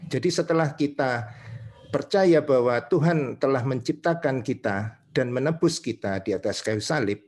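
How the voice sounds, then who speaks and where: A male preacher with an Indonesian accent and a medium-pitched voice delivers a teaching sermon. He moves between reading verses and explaining them, building each point in short, measured phrases. He often adds small confirming tags as he goes. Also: a little mellow.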